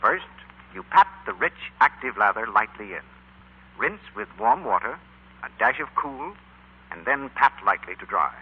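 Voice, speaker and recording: A man working as a radio announcer, reading a scripted 1940s sales pitch. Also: big pitch swings, moderate pace, husky. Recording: dull old 1940s radio broadcast.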